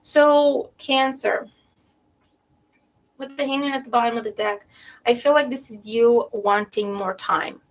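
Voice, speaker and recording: A woman's voice: on the high side, unhurried, pauses often, rough recording. A woman giving a live tarot reading to viewers, losing her voice with a cold, thinking aloud and often checking in with "right?". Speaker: tarot reader.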